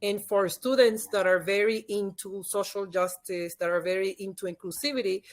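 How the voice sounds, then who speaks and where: A woman with a Dominican accent, a native Spanish speaker, talks in a medium-pitched voice. She explains things conversationally and emphatically, like an expert guest in an interview. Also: steady tone, moderate pace. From Dominican Republic. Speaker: podcast guest, leadership expert and former teacher.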